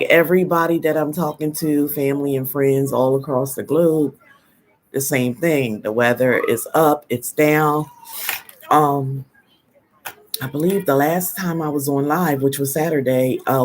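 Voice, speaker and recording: Slow, low-voiced congested woman host. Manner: slow, unhurried greetings with frequent ums. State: congested from a head cold. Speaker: live stream host. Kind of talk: casual live chat with viewers. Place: at home in New Jersey.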